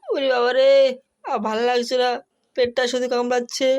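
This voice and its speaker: A man's voice: very high-pitched, completely flat delivery, normal speed, clean tone. A man voicing a sick, whining young fellow with a bellyache in a comic cartoon. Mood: miserable, whining. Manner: complaining, whiny. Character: sick whining young male friend with stomach cramps.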